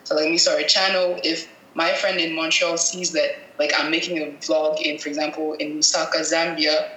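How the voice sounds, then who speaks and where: A woman's voice: sits low, level tone, normal speed, sharp tone. A woman from Cameroon, a guest videographer, answering interview questions casually, thinking aloud and correcting herself as she goes. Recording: echoey room with faint noise.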